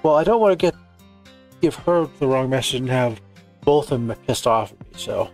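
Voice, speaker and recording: A man gives a casual playthrough of a visual novel. His voice is gravelly with fry at a middling pitch, and soft music plays behind him as he reads the text out. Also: animated, moderate pace. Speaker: gamer playing and narrating a visual novel.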